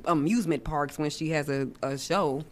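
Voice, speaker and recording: A woman R&B singer as a podcast guest, talking in a candid, slangy way with a low voice and frequent restarts. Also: normal speed. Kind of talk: casual interview chat. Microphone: studio mic.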